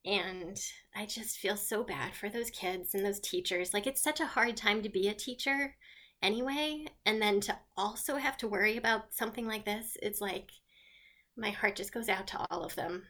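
A woman in her thirties or forties speaks in a bright, forceful voice. She talks earnestly and haltingly, leaning on fillers and restarts as a radio interview guest. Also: medium pitch. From born in Venezuela, raised in South America and the US. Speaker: children's book author.

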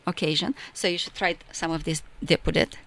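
A woman's voice: low-pitched, fairly even intonation, brisk, creaky, a bit bright. A woman from Romania with a Romanian accent, a chatty, enthusiastic guest in a radio studio interview who laughs often. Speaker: festival organizer guest.

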